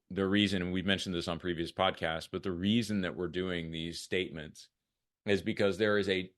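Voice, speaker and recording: A man's voice: low voice, a bit bright. An American man hosting a podcast in a conversational style. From United States. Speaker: podcast host.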